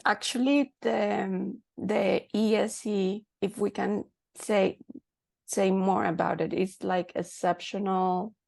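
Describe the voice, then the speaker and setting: A woman hosting a podcast, asking questions in a deliberate way and searching for words as she goes. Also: mid-range voice, steady tone, creaky, darkish tone, no room sound. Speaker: podcast host. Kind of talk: interview questions.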